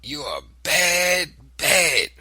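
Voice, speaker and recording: A man doing a shrill, distraught old grandmother. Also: sing-song, extremely slow, husky, no echo, exaggerated voice. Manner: shouting, tearful.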